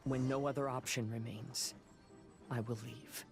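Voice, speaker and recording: A resolute young male wanderer speaks in solemn, deliberate lines, acted by a man for a video game. His voice sits at a medium pitch. Music plays clearly behind him. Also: little pitch movement, close to an everyday voice. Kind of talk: scripted game dialogue.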